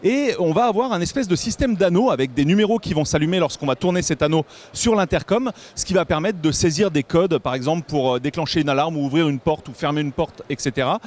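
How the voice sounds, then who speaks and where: A man with a French accent presenting a tech product in an explanatory way, often pausing and correcting himself. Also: a little high, a little mellow. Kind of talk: semi-improvised product presentation to camera. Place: busy trade show hall. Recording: noisy trade show floor.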